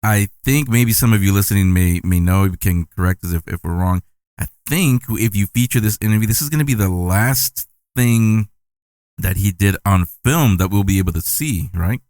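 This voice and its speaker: A man's voice: fairly low pitch, moderate pace, a little mellow, no room sound, studio quality. A man hosting a podcast, asking long, rambling, enthusiastic questions. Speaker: podcast host. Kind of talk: casual interview chat.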